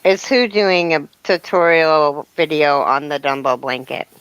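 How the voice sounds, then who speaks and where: Fifty-something woman, smooth dry voice. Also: deep voice, steady tone, unhurried, fairly bright tone, no room sound, highs cut off. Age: 50s. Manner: chatty, reads out viewer comments. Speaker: crochet channel host. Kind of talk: casual live-stream chat.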